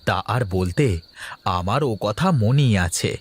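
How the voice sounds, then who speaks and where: A man's voice: lowish voice, expressive, a little slow, slightly rough. A man voicing a humble, folksy village farmer in a cartoon, plain-spoken and easily worked up. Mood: relaxed, homely. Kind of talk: Bengali cartoon voice acting.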